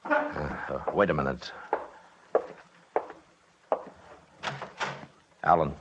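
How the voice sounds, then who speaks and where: A man playing a brisk expedition leader who recounts his mountain adventure in a 1950s radio drama. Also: extremely low pitch, lo-fi sound, background noise. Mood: businesslike. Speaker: actor and narrator in a radio play. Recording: old 1950s radio broadcast.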